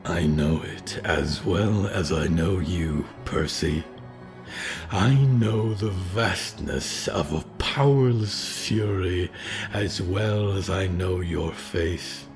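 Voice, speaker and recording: A man voicing a calm, weary, ancient forest creature who speaks slowly and gravely. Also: deep voice, full character voice. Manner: slow, solemn, measured.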